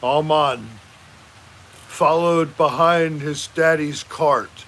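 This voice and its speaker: A man voicing a goofy old Icelandic man who tells rambling tall tales in a slow, loud way. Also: on the high side, fairly even intonation, a little hiss or hum, heavily acted.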